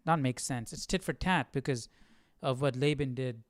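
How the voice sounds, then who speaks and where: Casual male study host: clear, lightish voice, informal and questioning, dry close recording. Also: mid-range voice, moderate pace, no echo. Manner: informal, questioning, thinking aloud. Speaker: host of live Bible study. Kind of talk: casual conversational commentary.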